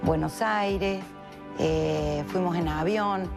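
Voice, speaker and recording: An Argentine woman with a somewhat low voice talks in a reflective interview. She pauses to think and fills gaps as she goes, with clear music running beneath her. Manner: thoughtful, with pauses and fillers.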